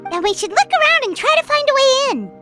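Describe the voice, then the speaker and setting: Tiny fairy companion, childlike squeak, wildly swooping intonation, indignant, chatty, video game. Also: female voice, big pitch swings, fairly quick, bright, forceful tone, soft background music, full character voice. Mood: curious, chatty. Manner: refers to self in third person, exclamatory. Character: small excitable fairy-like girl companion, indignant and talkative. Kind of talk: animated video game character acting.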